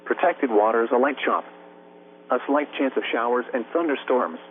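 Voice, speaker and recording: A synthetic-sounding American man reads a scripted weather bulletin as a radio announcer, in a formal, impersonal, measured way. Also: medium pitch. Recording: narrow-band weather radio broadcast, faint hum.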